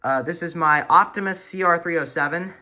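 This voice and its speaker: A man with a bright, forward voice talks through a casual hobby show-and-tell. He rambles and corrects himself as he goes, filming handheld in a room at home. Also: a little high, brisk. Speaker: hobbyist video host. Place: small furnished room at home, indoors. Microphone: handheld camera or phone, behind the lens.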